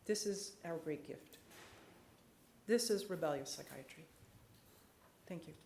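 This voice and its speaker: A woman psychiatrist giving a lecture and answering audience questions, earnest and measured, slowing down for emphasis. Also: deep voice, heavy background noise. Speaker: psychiatrist speaker. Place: lecture hall. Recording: echoey lecture hall.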